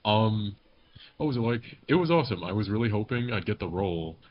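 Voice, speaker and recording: A man's voice: lowish voice, expressive, fairly quick. A man chatting casually on a group podcast in a joking, rambling way. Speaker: podcast panelist, amateur voice actor. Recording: dull lo-fi mic with background noise.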